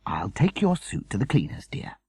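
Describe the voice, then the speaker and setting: A man voicing a put-upon, hesitant mother in a storybook, tentative and gently evasive. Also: fairly low pitch, wildly swooping pitch, moderate pace, breathy edge, no echo, exaggerated voice.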